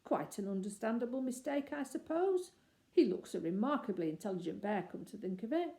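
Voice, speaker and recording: A woman voicing a grumpy, vain male stage actor who booms and talks dramatically. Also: big pitch swings, fairly quick, dark tone. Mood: gruff. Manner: booming, dramatic.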